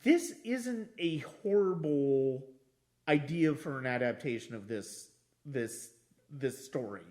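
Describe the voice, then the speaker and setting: A man casually discussing films as a podcast host, haltingly repeating and restarting his phrases for emphasis. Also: mid-range voice, lively intonation, darkish tone.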